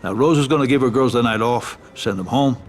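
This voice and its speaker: Calm, calculating mob adviser played by an actor. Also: male voice, medium pitch, fairly quick, slight background noise, close to an everyday voice. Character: calm, calculating male mafia consigliere, dry and efficient. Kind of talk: video game cutscene acting.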